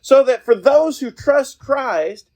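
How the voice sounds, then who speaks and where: A man hosting a podcast in an informal preaching style, talking in an earnest, folksy, halting way outdoors. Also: very high voice, animated, darkish tone. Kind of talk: informal evangelistic talk.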